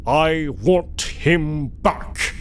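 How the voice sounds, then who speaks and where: A man voicing an older, determined American tycoon giving deliberate orders in a motion comic adventure. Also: fairly high pitch, smooth. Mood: grave, determined. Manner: deliberate, authoritative speeches. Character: old American industrial tycoon, gruff and determined.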